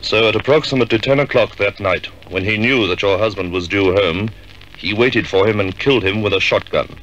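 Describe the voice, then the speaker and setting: Middle-aged police superintendent , played by a male actor, very low voice, measured and commanding, old hissy radio serial. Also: fairly bright tone, lo-fi sound, close to an everyday voice. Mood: grave, firm. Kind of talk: 1950s radio drama acting. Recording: hissy 1950s radio drama recording.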